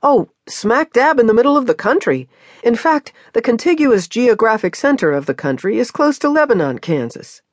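An eager young woman from the American Midwest, played by the storyteller, pitched higher than the narration. She speaks brightly and earnestly, with a lively lift, in a dry, close sound. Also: normal speed, no room sound.

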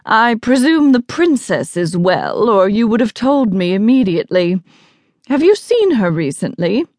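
A woman's voice: medium pitch, big pitch swings, measured pace, a little mellow, no echo, studio quality, voice pushed up. A woman voicing a haughty, smug man in an audiobook, with a pompous, condescending manner. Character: haughty, smug adult man, a disdainful council official. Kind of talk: audiobook character dialogue.

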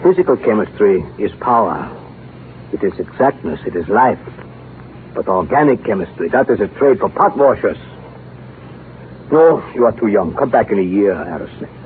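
An actor playing an exacting older male science professor in 1930s radio drama, speaking in deliberate, didactic pronouncements on an old noisy recording. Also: lowish voice, very animated, narrow, tinny audio. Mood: stern, dismissive. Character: exacting older male bacteriology professor, blunt, devoted to research.

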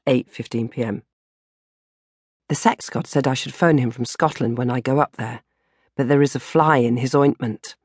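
A woman's voice: a bass voice, normal speed, darkish tone, husky, no room sound, studio quality. A woman narrating a comic first-person diary as an audiobook, with a dramatic, exasperated delivery. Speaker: audiobook narrator reading her own novel.

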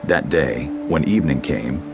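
Deep, gravelly male narrator. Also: normal speed, over music. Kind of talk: scripted dramatized audio Bible narration.

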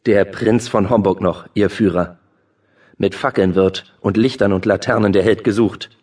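An actor playing a brisk, mocking courtier and officer in a classical verse drama, speaking in elevated, declamatory stage diction. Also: male voice, lowish voice, steady tone, darkish tone, breathy edge, far from the mic. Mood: formal reporting. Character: brisk mocking male courtier and officer reporting to his lord. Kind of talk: classical German verse drama audiobook acting.

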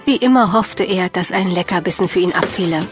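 A woman narrating a scripted children's audiobook in a measured, clearly phrased storytelling manner. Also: fairly low pitch, music in the background.

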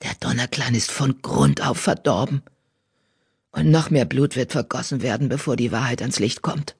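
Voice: moderate pace, gravelly, breathy edge. A narrator voicing a fierce old female cat, a bitter former healer who accuses in a growl. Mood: grim. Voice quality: low growl, hissing. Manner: menacing, accusatory, grim.

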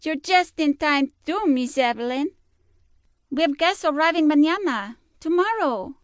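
A woman playing a spirited, sharp-tongued cook and housekeeper with a Spanish accent in an audio drama. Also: high voice, expressive, measured pace, dry recording. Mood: brisk, friendly. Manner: teasing, feisty, mixes Spanish words into speech. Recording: music behind the voice.

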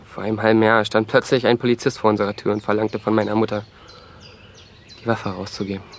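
A man's voice: lowish voice, level tone, fast, close to an everyday voice. Man acting a guarded, hot-tempered young guy, noisy outdoors. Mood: bitter, confiding. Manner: gruff, defensive. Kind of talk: German audio drama acting.

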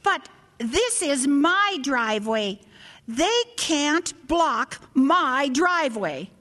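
A woman's voice: high-pitched, very animated, very slow, fairly bright tone, exaggerated voice. A woman playing a haughty, indignant old grandmother in a radio drama, imperious and easily affronted. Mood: outraged, demanding. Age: older.

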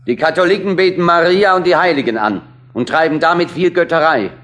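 A man's voice: a little high, brisk, a bit bright, natural voice. A man playing a stern, prejudiced churchgoer who declaims accusations in a German radio play. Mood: accusing. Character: prejudiced Protestant man reciting stern accusations against Catholics.

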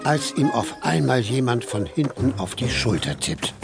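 A man with a gravelly voice and a standard German accent, narrating a children's story in an animated way. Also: medium pitch, moderate pace, music in the background.

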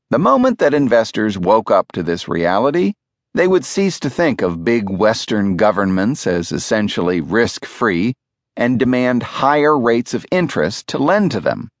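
A man reads a nonfiction audiobook in a measured, clearly phrased storytelling manner, close to the microphone. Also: on the low side, no room sound. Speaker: audiobook narrator. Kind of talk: scripted nonfiction audiobook narration. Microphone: close studio microphone.

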